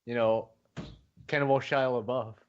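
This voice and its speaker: A man's voice: mid-range voice, fairly even intonation, moderate pace, lo-fi sound, crowd noise. A man in casual podcast banter on a voice call, playful and prone to repeating himself.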